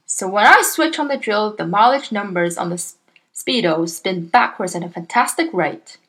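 A woman voicing a boastful, crooked male car dealer who talks loudly and smugly. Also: fairly high pitch. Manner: blustering and smug, loud.

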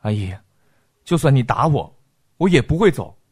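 An actor playing an earnest, devoted young man in an audio drama, pleading haltingly and emotionally. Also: mid-range voice, very animated, close to an everyday voice. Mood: pleading, determined. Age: young adult. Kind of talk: Mandarin audio drama acting.

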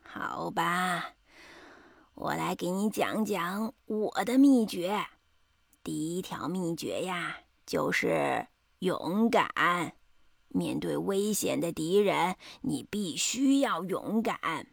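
A woman voicing a wise, proud old grandpa toad in a children's bedtime story, speaking slowly. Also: on the low side, expressive, no echo, studio quality, voice pushed down, heavily acted. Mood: wise, patient. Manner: slow, drawn-out, gravelly storybook voice.

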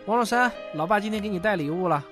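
Man playing a doting, animated dad. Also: high-pitched, fast talker, faint music behind. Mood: cheerful. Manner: coaxing, reassuring. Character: doting, encouraging father of a young boy. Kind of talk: audio drama acting.

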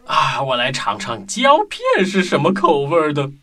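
A man's voice: a little high, wildly swooping pitch, normal speed, bright, forceful tone, heavily acted. A man playing a cultured, gluttonous book-eating fox in a theatrical way for a children's radio play. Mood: smug, picky. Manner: theatrical, munching and humming while eating. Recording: music bed behind the voice.

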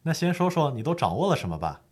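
A man's voice: on the high side, big pitch swings, quick pace, darkish tone, husky. A man playing a patient lawyer who explains the law formally and methodically in a scripted educational audio drama. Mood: approving, earnest.

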